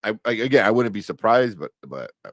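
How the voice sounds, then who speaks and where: A man with a very low voice hosts a casual fan discussion show. His delivery swings up and down a lot as he rambles with enthusiasm, reaching for names as he goes. The sound is close and dry. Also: very animated, fast talker, no echo. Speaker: host of a pop-culture review show.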